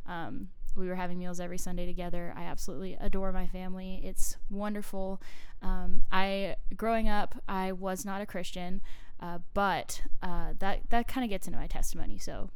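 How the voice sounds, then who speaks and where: Young Canadian woman, smooth chatty host. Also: medium pitch. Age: 20s. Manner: chatty, friendly, many ums and little laughs. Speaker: podcast host. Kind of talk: casual solo podcast chat.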